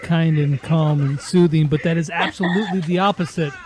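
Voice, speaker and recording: A man's voice: fairly high pitch, fairly even intonation, fairly quick, a little mellow. A man hosting a casual interview, asking questions in a chatty, informal way. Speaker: interview host.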